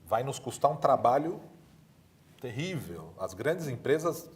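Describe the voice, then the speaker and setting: A man's voice: mid-range voice, very animated, measured pace. A man with a Brazilian accent speaking as a panelist, often adding little tag questions to his points. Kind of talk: panel discussion.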